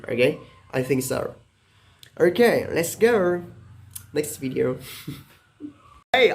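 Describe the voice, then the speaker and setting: A young man from Vietnam with a Vietnamese accent, talking casually to camera about learning English, exaggerating his pronunciation and tagging lines with "okay?". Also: fairly high pitch. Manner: deliberately exaggerated, emphatic pronunciation; frequent "okay?" tags and "uh" fillers. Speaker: English-learning video creator.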